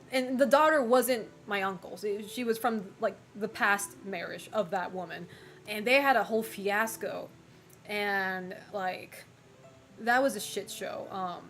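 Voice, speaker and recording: A woman's voice: mid-range voice, fairly bright tone. Young woman streamer, casual and frank, lots of laughs and fillers, faint music under her. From United States and Japan. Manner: chatty, frank, laughs often, many fillers. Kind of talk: casual chat reading viewer messages.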